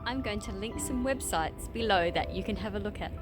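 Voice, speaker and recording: A woman hosting a children's read-aloud, explaining things simply to young listeners with expressive emphasis on key words. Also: medium pitch, brisk. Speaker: host of children's story read-aloud show. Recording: loud music behind the voice. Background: music.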